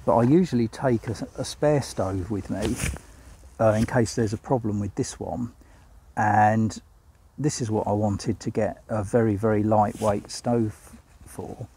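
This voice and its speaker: A man's voice: lowish voice, fairly even intonation, a little slow, dark tone, a little hiss or hum. An older man vlogging outdoors in a wood, chatting casually about his camping gear with plenty of ums and uhs. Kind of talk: casual walk-and-talk vlog. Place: outdoors in a woodland.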